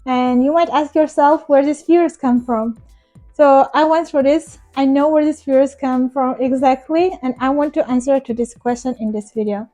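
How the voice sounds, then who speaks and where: Young non-native woman ESL teacher, high but mellow voice, earnest and encouraging, soft music underneath. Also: normal speed. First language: not English. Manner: earnest, encouraging, direct address to viewers. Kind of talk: talking-head teaching vlog.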